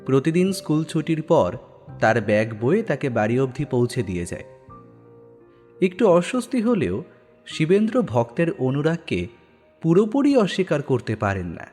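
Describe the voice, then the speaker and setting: A man's voice: a little high, big pitch swings, normal speed, a little mellow. A man narrating a scripted audio story in a dramatic style. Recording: long studio reverb, background music.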